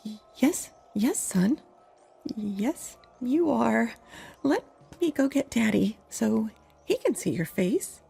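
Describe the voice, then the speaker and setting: Woman as a frightened, husky-voiced mother. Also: fairly high pitch, big pitch swings, slow pace, dark tone, soft background music, full character voice. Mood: fearful placating. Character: frightened mother of a deranged son. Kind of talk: horror audio drama acting.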